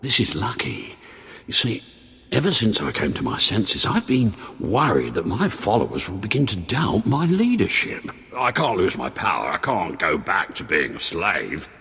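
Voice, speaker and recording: An actor playing an anxious former slave posing as a grandiose Sith lord in a video game, husky, theatrical and faltering. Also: male voice, low voice, sing-song, moderate pace, fairly bright tone, exaggerated voice. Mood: anxious, scheming. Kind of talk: video game cutscene acting. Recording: long hall reverb.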